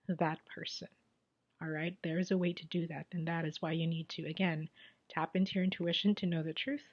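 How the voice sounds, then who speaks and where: A woman's voice: on the low side, highs cut off. A Filipino woman, native in Tagalog, talking casually as a tarot reader giving advice, repeating phrases for emphasis and often dropping to a near whisper. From Philippines.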